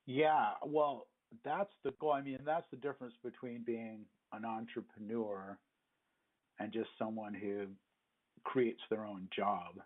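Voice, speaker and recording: Lowish male interviewer on a phone line. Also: steady tone, measured pace. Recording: muffled, narrow phone line.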